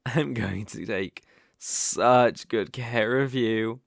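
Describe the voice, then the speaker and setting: A man's voice: medium pitch, creaky, dry recording, studio quality. A British man acting a dominant, teasing, possessive young bully in love in an intimate ASMR roleplay. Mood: tender, possessive. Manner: slow, teasing, commanding.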